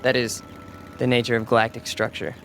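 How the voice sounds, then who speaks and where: A man playing an awkward young newcomer in a radio drama, halting and stilted as he fakes hip slang. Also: mid-range voice, monotone, fairly bright tone, a little hiss or hum, natural voice. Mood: awkward, puzzled. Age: young adult. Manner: halting, stilted, swinging from professorial wording to beatnik slang. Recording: music bed and engine sounds behind.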